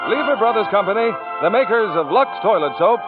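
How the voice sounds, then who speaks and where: A man's voice: high-pitched, animated, a little fast. A man announcing in 1940s radio style on an old broadcast recording. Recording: tinny vintage radio recording. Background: loud music.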